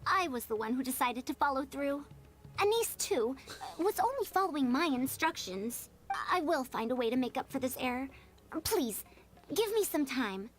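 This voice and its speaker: A woman's voice: sits high, sing-song, normal speed. An actress playing a proud, earnest, girlish-sounding scholar in a video game. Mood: contrite, resolute. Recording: game audio with background music.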